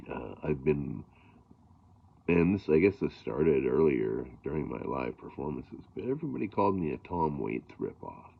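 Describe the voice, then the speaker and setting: A middle-aged man with a gravelly voice, talking casually about harmonicas in a rambling way, with lots of fillers and throat clearing. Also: extremely low pitch, narrow, tinny audio. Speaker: blues harmonica player. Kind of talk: casual talk to camera.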